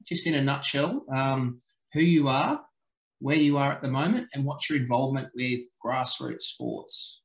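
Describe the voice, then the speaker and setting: A man's voice: medium pitch, unhurried. Male host on a headset video call, roomy echo, casual and matey. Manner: friendly, informal, says mate, hesitant fillers. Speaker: podcast host. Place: home office indoors.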